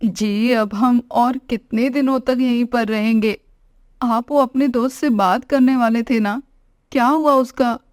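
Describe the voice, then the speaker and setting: Woman acting a worried young wife, cartoon story, high-pitched, slow, meek, plaintive. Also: fairly even intonation, darkish tone, no room sound, clean studio sound, natural voice. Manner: polite, plaintive. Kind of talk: Hindi cartoon moral story.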